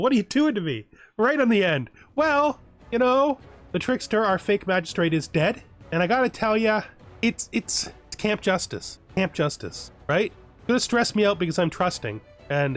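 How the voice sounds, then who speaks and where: A man with a fairly high voice hosts a roleplay video game playthrough over background music. He moves between casual remarks and theatrical narration, with a wry, amused touch. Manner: theatrical, wryly amused, acting out characters. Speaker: host of a gaming let's play. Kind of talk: casual roleplay commentary mixed with reading game text.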